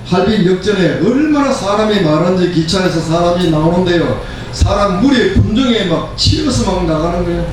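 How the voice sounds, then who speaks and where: Echoey, higher-voiced elderly male preacher. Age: 70s. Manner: emphatic, repeats phrases in parallel for stress. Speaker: pastor preaching. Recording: very echoey room, distant mic, faint noise.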